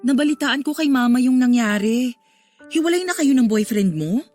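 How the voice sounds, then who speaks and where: A caring but nosy older sister in a radio drama. Her voice sits a little high, insistent, teasing and expressive. Soft music plays behind her. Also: normal speed. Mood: concerned. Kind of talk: Tagalog radio drama acting.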